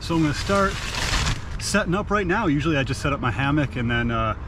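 A man's voice: on the high side, fast talker. A man in his thirties with an American accent, chatting casually as a camping vlogger in the woods, keeping his voice down. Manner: relaxed and chatty, quiet to stay unnoticed. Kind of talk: casual vlog narration. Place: outdoors in a wooded lot. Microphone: action camera on a tripod nearby. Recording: outdoor camera audio with clear traffic noise.

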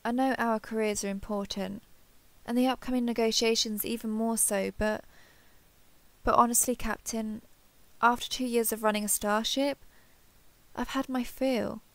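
A woman playing an earnest, affectionate starship captain in an intimate sci-fi audio roleplay, speaking haltingly and confessionally. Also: on the high side, fairly even intonation, dry recording, lightly acted. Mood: flustered, tender, then hopeful, tender. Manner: hesitant, halting, apologetic, confessional.

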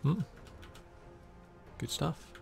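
A man giving hushed ASMR gameplay commentary close to the microphone, often dropping into whispers. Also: very slow, music in the background. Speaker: gaming video host.

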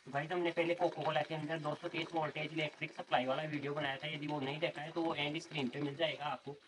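Fast-talking young man hosting an experiment vlog. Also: fairly high pitch, little pitch movement, fairly bright tone, a little hiss or hum. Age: 20s. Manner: talks to viewers as "guys", explains the setup step by step. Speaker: experiment video host. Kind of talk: casual experiment vlog narration. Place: outdoors on a sunny rooftop terrace.

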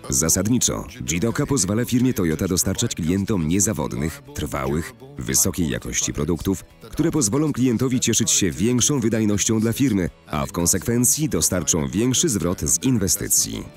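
A man reading a scripted corporate narration in a measured, formal and articulate manner, recorded in a studio. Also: low voice, very animated, a little mellow. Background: faint music.

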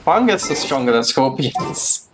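A man's voice: mid-range voice, sing-song, measured pace. A young man giving casual live commentary as he streams a video game. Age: young adult. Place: small room indoors at home. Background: loud game music.

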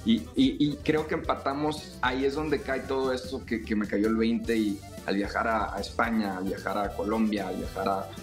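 A young man from Sonora, a singer, reflecting in a casual interview over a video call, halting often and restarting his sentences. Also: lowish voice, fairly even intonation, faint music behind. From Sonora, Mexico. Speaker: singer and songwriter, interview guest. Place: home room.

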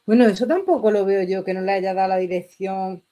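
A middle-aged woman with a Spanish accent from Spain, giving casual reaction commentary in an expressive, exclamatory way. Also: medium pitch, a little fast, a little hiss or hum. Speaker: reaction video host. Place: small bedroom indoors.

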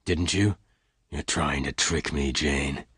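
A calm Old West gunfighter, played by a male reader, very low and quiet. He speaks slowly and gently with a hard edge, in a close, dry storybook recording. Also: breathy edge, no room sound, exaggerated voice. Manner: calm, slow, gentle but hard-edged. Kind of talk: audiobook character dialogue.

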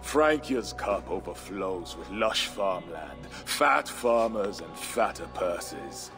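An actor playing a middle-aged, world-weary and bitter Viking warlord in a video game, brooding and declamatory. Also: male voice, low-pitched, big pitch swings, slow, exaggerated voice. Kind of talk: dramatic video game acting. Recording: music behind the voice.

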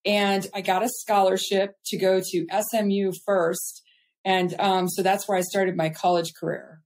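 A woman's voice: medium pitch, flat delivery, unhurried, darkish tone. A middle-aged woman from California, a business guest, telling her life story in a chatty, upbeat way with fillers. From California, USA. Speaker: guest entrepreneur. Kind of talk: casual interview storytelling.

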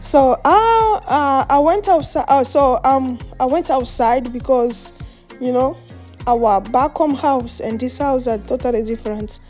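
A high-voiced woman whose first language is French or Swahili talks her way through a home cooking video. Her tone is mellow and unhurried, and she laughs often and hunts for words as she goes. Faint music plays behind her. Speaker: cooking vlogger. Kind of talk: casual cooking vlog. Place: outdoors on a patio and in a home kitchen.

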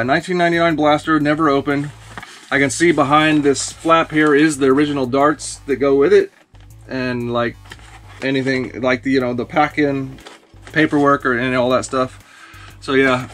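A man in his forties casually talking through thrifted toys in a small shelf-lined room, relaxed and rambling with many fillers. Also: mid-range voice. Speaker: toy reseller vlogger. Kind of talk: casual haul video. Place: small indoor toy room lined with shelves.

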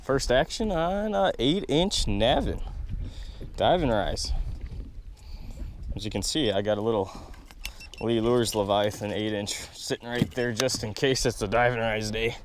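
A man in his thirties hosting a fishing vlog from a small boat on a windy lake, chatty and enthusiastic, recorded on an action camera. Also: medium pitch. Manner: enthusiastic, chatty, quick bursts of excitement. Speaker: fishing channel host. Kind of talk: excited outdoor fishing vlog.

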